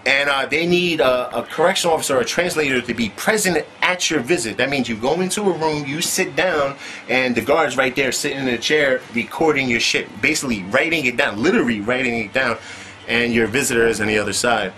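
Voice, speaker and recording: A man's voice: mid-range voice, a little fast, bright, forceful tone, a little hiss or hum. A man telling his own story in casual, profane street slang, with "man" tossed in constantly. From United States.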